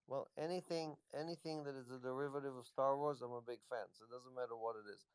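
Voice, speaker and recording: A man's voice: medium pitch, fairly even intonation, fast talker, smooth, dry recording. A man, a company executive, casually answering questions on a live audio chat in a loose, rambling way. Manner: rambling, loose, lots of "you know".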